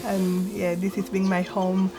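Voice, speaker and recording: A woman's voice: medium pitch, monotone, measured pace, low-quality recording. A woman from Argentina, a textile artist, speaking earnestly and using many fillers. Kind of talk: reflective interview. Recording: music bed under voice.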